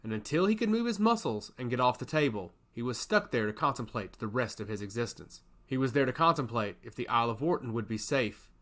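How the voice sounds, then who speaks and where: A male narrator tells a fantasy story from a script. His pitch is mid-range and moves about in a lively, animated way. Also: a little fast, hardly any pauses.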